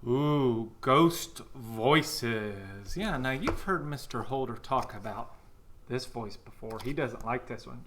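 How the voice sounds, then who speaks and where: A man's voice: medium pitch, bright, forceful tone, a little hiss or hum. A man teaching a cheerful children's music lesson on video, encouraging the kids watching at home.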